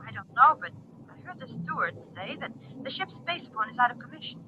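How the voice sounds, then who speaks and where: An actress playing an alert, composed undercover space agent reporting urgently over a communicator in an old-time radio drama. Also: female voice, a little high, very animated, fast, pushed and bright, highs cut off. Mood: worried, hushed urgency. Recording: noisy, thin vintage radio recording.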